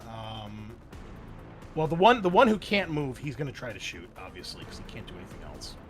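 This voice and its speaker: A man's voice: medium pitch, wildly swooping pitch, brisk. A man running a tabletop roleplaying game as game master, improvising action and rulings in a casual, profane way, thinking aloud. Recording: loud music behind the voice. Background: music.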